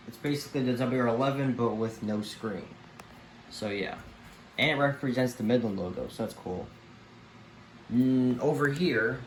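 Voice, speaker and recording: A man giving a casual, unscripted show-and-tell, speaking haltingly and trailing off at the ends of his thoughts. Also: medium pitch, roomy sound, poor audio. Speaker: collector presenting a home video tour. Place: room at home among many radios. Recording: clear background noise and room ambience. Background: weather radio broadcast playing.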